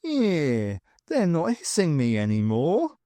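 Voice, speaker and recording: Audiobook role: man as halting, murmuring vizier, fussy pantomime baddie, dry sound. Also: on the high side, huge pitch swings, dark tone, dry recording, pitched above the performer's own voice, heavily acted. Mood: wistful. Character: fussy, hesitant pantomime villain vizier, easily disappointed.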